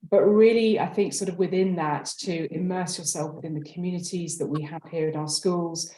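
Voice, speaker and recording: A woman who is a school head answers in a measured, considered way on a webinar panel, in roomy, echoing audio. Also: fairly low pitch, fairly even intonation, dark tone. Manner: measured, considered, hedging phrasing.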